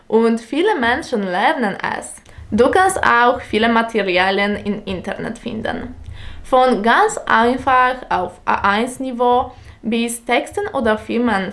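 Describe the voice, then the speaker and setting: A young woman whose first language is Polish explains German learning in careful, non-native German with a Polish accent, speaking deliberately as a teacher. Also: mid-range voice. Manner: slow, careful, deliberate delivery with small grammar slips.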